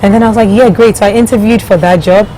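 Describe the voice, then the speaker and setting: A woman with a mellow, relaxed voice, speaking as a guest in a casual interview. She tells things as anecdotes in an easy conversational style, with faint noise behind her. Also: mid-range voice, fast talker, rough recording. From Ghana and Germany. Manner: conversational, anecdotal, quoting others in her telling. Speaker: startup CEO guest.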